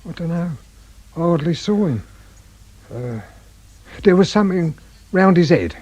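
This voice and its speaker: An actor playing a muddled, frightened suspect in a radio drama, halting and pleading, with an English accent and a husky, rough voice. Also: male voice, on the high side, lively intonation, darkish tone, lo-fi sound. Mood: shaken. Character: frightened, muddled male petty suspect confessing to a killing.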